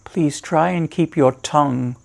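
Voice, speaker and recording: A man leading a guided meditation as a teacher, giving measured, reassuring instructions with deliberate pauses. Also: a little high, gravelly.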